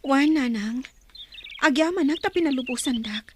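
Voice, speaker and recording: An actress in an Ilocano radio drama playing a resolute, secretive young daughter, speaking earnestly. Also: female voice, sits high, moderate pace, close to an everyday voice. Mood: reassuring. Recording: faint noise with birdsong behind.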